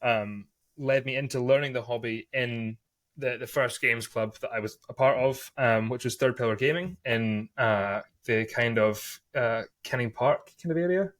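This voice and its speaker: A man's voice: mid-range voice, normal speed, fairly bright tone. A man chatting on a podcast, hesitant with many ums, and self-mocking about his losses. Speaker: podcast guest. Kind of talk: casual chat.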